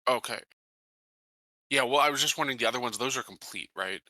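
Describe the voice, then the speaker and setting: A man with a very bright, sharp voice chats as a player in a tabletop game. He is casual and tentative, questioning rules, recorded dry. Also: mid-range voice, quick pace, no echo.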